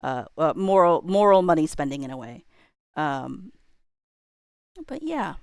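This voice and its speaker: A woman in her thirties talks in a low register, recorded dry with hardly any room sound. She chats reflectively like a streamer addressing viewers. Her delivery rambles, with hedged phrases and thoughts left trailing. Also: expressive, a little slow, a little mellow, no room sound. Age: millennial, around 30s. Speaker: livestreamer. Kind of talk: casual reflective chat to viewers.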